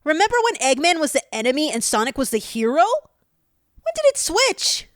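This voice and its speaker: Woman voicing a high, earnest young cartoon sidekick. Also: big pitch swings, moderate pace, no echo, studio quality. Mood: worried. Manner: pleading, sensible. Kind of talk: fan audio drama acting.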